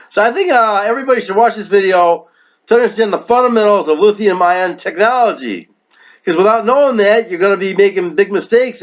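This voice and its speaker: A man giving a casual instructional product demonstration, speaking in a repetitive, emphatic way and often checking in with the listener. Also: sits high. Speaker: product reviewer.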